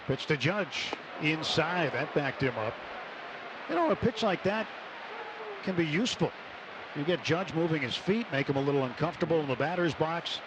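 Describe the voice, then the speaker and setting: Higher-voiced man calling live baseball on radio. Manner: measured between plays, excited on big plays. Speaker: baseball play-by-play announcer. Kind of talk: live radio sports commentary. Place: stadium broadcast booth. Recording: noisy live ballpark radio broadcast.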